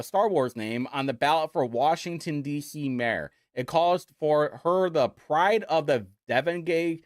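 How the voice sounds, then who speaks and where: Sarcastic young Midwestern man, lively pitch. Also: no echo, studio quality. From Indiana, United States. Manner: sarcastic, mocking. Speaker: host. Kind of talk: casual commentary show.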